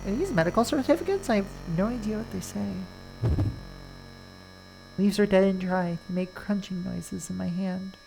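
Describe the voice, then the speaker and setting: A woman's voice: mid-range voice, normal speed, creaky, long pauses, mellow, slight background noise, low-quality recording. A woman game streamer with a very nasal, congested voice, chatting casually and reading game text aloud in the first person. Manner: reads game lines plainly in first person, breaking off to chat. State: congested from a winter cold. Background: faint game music.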